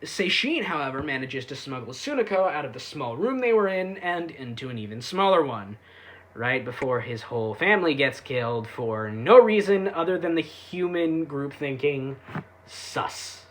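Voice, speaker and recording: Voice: medium pitch, animated, moderate pace. A reviewer giving a casual, sarcastic recap with irreverent, sweary delivery. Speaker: YouTube anime reviewer.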